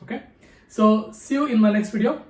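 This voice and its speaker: Man, fairly high voice, unhurried and careful, roomy echo on the recording. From India. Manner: calm, measured, pausing between words. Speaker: memory athlete vlogger. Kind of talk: slow, deliberate recitation to camera. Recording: echoey room.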